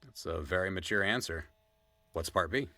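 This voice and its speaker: A man's voice: low voice, moderate pace, bright, forceful tone, no echo. A man playing a probing, teasing podcast interviewer and old friend in a natural audio drama.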